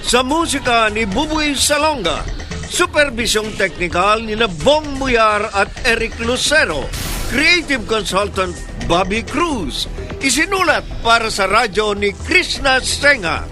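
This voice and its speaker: A man with a Filipino accent reading scripted radio drama credits in a formal, deliberate announcer's delivery. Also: very high voice, animated. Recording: loud music under the voice. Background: loud music.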